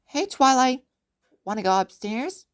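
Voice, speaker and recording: A woman's voice: sits high, sing-song, measured pace, smooth, voice pushed up. Woman as young male dragon, eager, cocky, naive, storybook character, swinging intonation. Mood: suggestive. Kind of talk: amateur audio story character reading.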